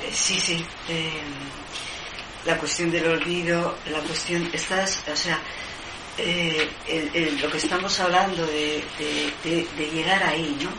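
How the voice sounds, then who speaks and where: A woman's voice: deep voice, fairly even intonation, unhurried. A woman interviewing a poet, hesitant and full of restarts as she works toward her questions. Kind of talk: literary interview. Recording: echoey room, noisy background.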